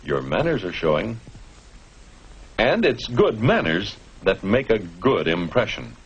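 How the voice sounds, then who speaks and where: A man's voice: lowish voice, very animated, a little slow, breathy edge, muffled recording. A man narrating a 1950s educational film in an instructive manner, putting rhetorical questions to the viewer. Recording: noisy old 1950s film soundtrack.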